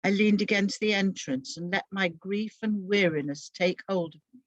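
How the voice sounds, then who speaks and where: A woman giving an expressive, solemn first-person dramatic narration of a Bible story from a script. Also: mid-range voice, moderate pace, dry recording, muffled recording.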